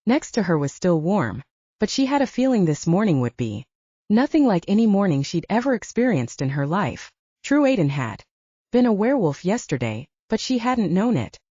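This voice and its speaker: A woman reads fiction as an audiobook narrator, her voice mellow and a little low. Her intonation swings expressively through the story. The sound is dry and slightly dull. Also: sing-song, moderate pace, breathy edge, no echo.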